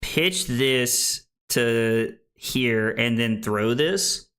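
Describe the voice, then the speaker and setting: A man in his late twenties, a streamer chatting casually and thinking out loud while he plays a card game. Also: medium pitch, drawn-out words. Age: 20s. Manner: chatty, joking, thinks aloud. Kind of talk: casual live-stream chat.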